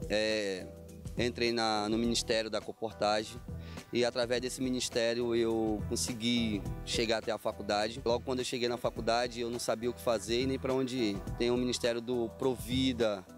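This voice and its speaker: Guy late 20s talking into a reporter mic outside, pretty flat monotone, music under it. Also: medium pitch, normal speed. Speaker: student volunteer. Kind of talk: interview testimony. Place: outdoor campus lawn. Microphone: handheld reporter mic.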